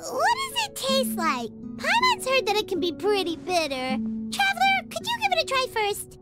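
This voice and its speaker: Squeaky, very high childlike game sprite. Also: female voice, normal speed, heavily acted. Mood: eager. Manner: refers to self in third person, excitable. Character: tiny childlike female floating companion, curious and chirpy. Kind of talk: anime game voice acting. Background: background music.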